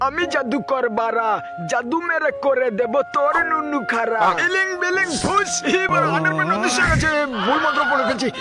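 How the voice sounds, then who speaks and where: Excitable cartoon prankster, very high voice, crude, cocky, comic dub, heavy background music. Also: male voice, brisk. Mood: mischievous. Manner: crude, boastful.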